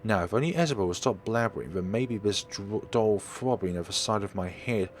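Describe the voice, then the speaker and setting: A man's voice: lowish voice, brisk, slight background noise. A man narrating a visual novel aloud in a dramatic first-person style, now and then stumbling over words. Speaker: let's play host reading a visual novel aloud.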